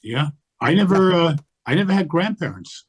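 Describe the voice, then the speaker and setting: A man's voice: on the high side, lively intonation, moderate pace, breathy edge. An older man and grandfather, speaking as an interview guest in a passionate, emphatic way. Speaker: guest, activist. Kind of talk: interview conversation.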